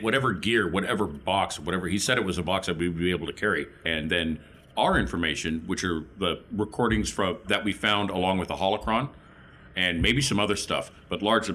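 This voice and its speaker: A man's voice: sits low, fairly quick, bright, forceful tone. A man playing a tabletop roleplaying game, talking through his character's plans in casual, improvised chat.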